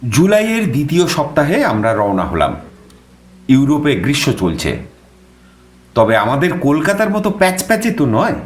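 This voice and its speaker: A man's voice: on the high side, huge pitch swings, moderate pace, reverberant. A man acting an eager young junior reporter who narrates his own story expressively, with a Kolkata Bengali accent. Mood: animated storytelling. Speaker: narrator and young journalist character. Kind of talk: dramatized first-person story narration.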